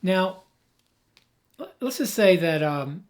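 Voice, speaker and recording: Man, slightly high voice, hoarse, emphatic, rambling with restarts, tech host. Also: animated, slow. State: hoarse, has lost his voice. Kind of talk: off-the-cuff explainer.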